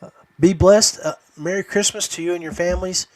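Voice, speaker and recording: A man's voice: sits high, steady tone, fairly quick, a crowd in the background, poor audio. A man hosting a podcast, chatting casually and addressing guests and listeners. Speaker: podcast host. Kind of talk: casual podcast chat and sign-off.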